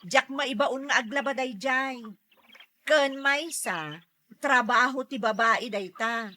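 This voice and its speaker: A woman's voice: high voice, takes it slowly, sharp tone, exaggerated voice. A woman playing a nosy, teasing neighbour in an audio drama, taunting in a playful way. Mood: teasing, mocking. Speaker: voice actor in a drama. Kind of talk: Ilocano audio drama acting.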